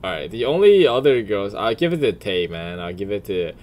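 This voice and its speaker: A man giving casual off-the-cuff commentary in a crude, profane, blunt way, often deliberating out loud. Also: lowish voice, very animated, a little fast. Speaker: video creator.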